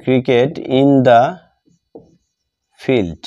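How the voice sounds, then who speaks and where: A man with a Bengali accent teaching a grammar lesson step by step, halting and repeating phrases in short fragments as he writes. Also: mid-range voice, mellow. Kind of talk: step-by-step grammar lesson, spoken while writing. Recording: dull lo-fi sound.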